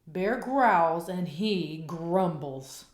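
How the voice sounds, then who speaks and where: A woman reading a children's picture book aloud in a slow, expressive, rhythmic way, after a casual spoken intro. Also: lowish voice, echoey room.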